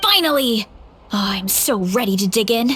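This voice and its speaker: A woman's voice: a little high, lively intonation, normal speed, a bit bright, slight background noise. A woman voicing an eager young woman with a huge appetite, impatient to start eating.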